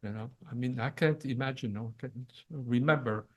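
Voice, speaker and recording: Older Belgian-born professor giving a talk. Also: male voice, mid-range voice. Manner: halting, many fillers and restarts. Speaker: retiring professor. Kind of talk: ceremony presentation. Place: event hall.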